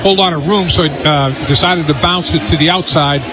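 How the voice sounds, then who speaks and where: High-voiced American football analyst, noisy broadcast. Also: male voice, fairly quick. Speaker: radio colour analyst. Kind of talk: live unscripted game analysis. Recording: noisy live stadium radio broadcast.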